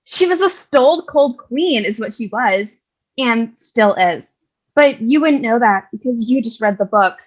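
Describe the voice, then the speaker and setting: Woman playing a sassy bossy nun storyteller, highish voice, kinda muffled recording. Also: animated, unhurried. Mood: scornful. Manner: scolding, sassy. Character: brash, sarcastic nun who takes over storytelling. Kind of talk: comic stage reading.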